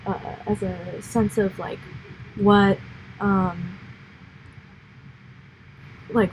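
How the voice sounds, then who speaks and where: A woman's voice: mid-range voice, darkish tone, slight background noise, rough recording. A young American woman hosting a livestream, explaining things in a rambling, upbeat chat full of fillers. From United States. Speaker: livestream host. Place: indoor office or lab room.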